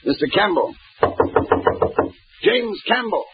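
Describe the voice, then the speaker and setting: An actor playing a grim Scotland Yard inspector in a brooding, authoritative style of 1940s radio detective drama. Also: male voice, sits high, lively intonation, slow pace. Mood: urgent. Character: grim, determined Scotland Yard police inspector, narrating his case. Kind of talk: 1940s radio detective acting and noir narration. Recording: dull vintage radio recording with music.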